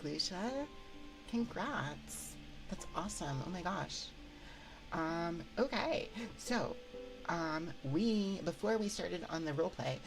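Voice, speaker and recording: A woman streamer talks casually to her viewers in a low voice edged with vocal fry. She takes her time, hesitating and rambling in a giggly manner as she speaks into a binaural microphone. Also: rough recording. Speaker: streamer hosting an ASMR livestream. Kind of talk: casual livestream chat with viewers. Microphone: binaural 3Dio ASMR microphone. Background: music.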